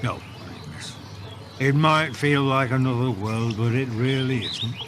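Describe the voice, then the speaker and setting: A man's voice: mid-range voice, steady tone, a little slow, a bit bright, slight background noise, close to an everyday voice. A man playing a friendly, wry northerner in an audio drama, with a Northern English accent and folksy dialect. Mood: welcoming, wry. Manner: dialect-heavy, folksy, drily joking.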